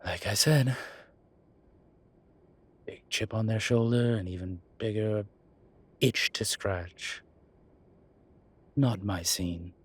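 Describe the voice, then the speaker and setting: A man playing a suave, wry incubus demon professor in an intimate audio roleplay, with a husky voice and hesitant pauses. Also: fairly low pitch. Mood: measured explaining.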